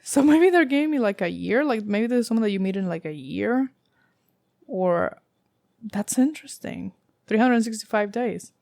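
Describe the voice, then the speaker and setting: A young woman with a raspy, sore-throated voice, giving a casual tarot reading in a rambling way, full of fillers and the odd swear word. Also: a little high, animated, normal speed, a little mellow, dry recording, clean studio sound. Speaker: tarot reader.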